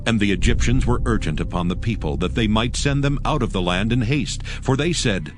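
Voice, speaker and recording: Deeper guy narrating bible stuff, solemn and steady, music underneath. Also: a little fast. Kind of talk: scripted scripture narration. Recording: music bed behind the voice.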